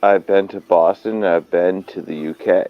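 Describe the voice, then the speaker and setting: A man's voice: low-pitched, fairly even intonation, normal speed, muffled recording. A man hosting a casual live chat, rambling and hesitant as he talks. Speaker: host.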